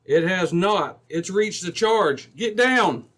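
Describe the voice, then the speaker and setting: A man's voice: high-pitched, animated, takes it slowly, noisy background. A man playing a brave, decisive Mountie sergeant in an old-time radio adventure, speaking with authority. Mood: alert, commanding. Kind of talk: re-created old-time radio drama acting.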